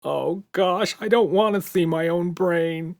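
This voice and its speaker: A man's voice: high-pitched, takes it slowly, vocal fry, voice pushed up, heavily acted. A man voicing a small, terrified monster in a children's audiobook, gasping and wailing in panic. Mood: panicked. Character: small, terrified, frantic monster with googly eyes.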